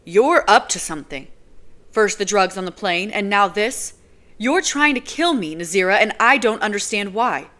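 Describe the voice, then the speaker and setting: A woman voicing a furious young man in an audiobook, loud and heated as he demands answers. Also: on the low side, slow.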